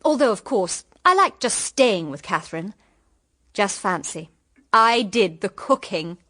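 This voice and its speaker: A woman's voice: mid-range voice, very animated, a little slow, bright, forceful tone, heavily acted. An actress playing a naive, cheerful, sheltered young woman in a period radio drama, with a girlish, upper-class English manner. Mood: gushing. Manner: gushing, girlish.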